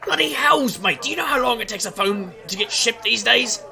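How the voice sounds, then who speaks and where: Man playing a gravelly, wisecracking magician detective. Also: very high-pitched, lively intonation, brisk, bright, forceful tone, full character voice. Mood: exasperated. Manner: sardonic, wisecracking. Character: wry, stubborn male street magician private investigator. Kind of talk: audio drama acting and noir-like narration.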